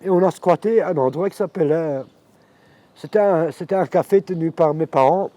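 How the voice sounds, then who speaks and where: Dark-voiced man in his fifties. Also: on the high side, no room sound. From Morocco. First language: Arabic. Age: 50s. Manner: reflective, halting storytelling. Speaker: interviewee. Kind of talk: personal life testimony.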